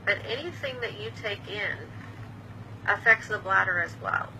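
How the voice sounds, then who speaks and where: A woman's voice: medium pitch, moderate pace, bright, forceful tone, slight background noise, poor audio. A woman in her fifties, a patient advocate, explaining an illness to camera in a plain, patient way with small restarts. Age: 50s. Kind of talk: earnest explanatory talk to camera.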